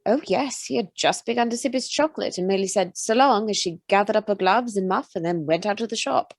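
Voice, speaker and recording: A woman's voice: medium pitch, fast talker, no echo, studio quality, lightly acted. A woman playing an earnest, chatty young Edwardian waitress in a radio play, telling things in careful detail. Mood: earnest recounting.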